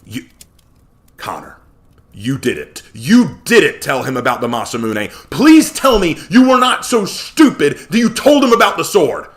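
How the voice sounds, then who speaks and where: A man's voice: fairly high pitch, very animated, moderate pace, pitched above the performer's own voice. A man voicing a hot-tempered, snarling, sarcastic man in a storybook reading. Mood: furious. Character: hot-tempered, snarling man who loathes his rival.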